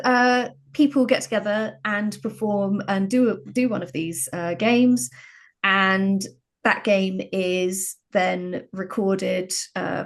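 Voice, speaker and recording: A woman talking casually and explaining things as a guest game writer on a panel. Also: mid-range voice.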